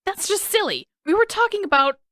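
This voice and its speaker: A woman's voice: extremely high pitch, very animated, fairly quick, pushed and bright, no echo, studio quality, voice pushed up, full character voice. A woman voicing a sarcastic, exasperated teenage boy in an audiobook story, with emphatic delivery. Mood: nervous bluffing. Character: sarcastic teenage boy, exasperated, nervous big-brother type.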